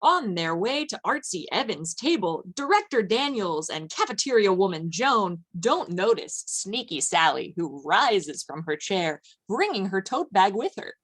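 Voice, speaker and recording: A woman narrator reads a scripted radio play. Her voice sits at a medium pitch as she tells the story. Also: sing-song, a little slow, hardly any pauses, a bit bright.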